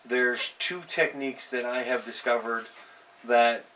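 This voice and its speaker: A man with a bright, forward voice of medium pitch talks as a show host giving informal advice. He addresses listeners directly and leans on key words in an unscripted way. The mic sits at some distance, with faint noise behind him. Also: fairly even intonation, a little slow. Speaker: host of a tabletop role-playing advice show. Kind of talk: casual unscripted advice talk.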